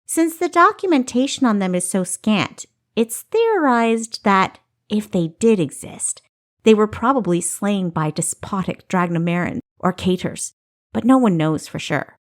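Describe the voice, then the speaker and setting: A woman voicing an animated female historian who retells ancient legends with emphatic storytelling in a fantasy audiobook. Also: mid-range voice, unhurried, natural voice. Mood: knowing, storytelling.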